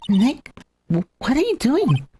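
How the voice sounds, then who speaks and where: A woman's voice: sits high, huge pitch swings, a little slow, warm tone, soft background music, heavily acted. A woman voicing a worried young female friend in a video game, hesitant and questioning. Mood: puzzled worry.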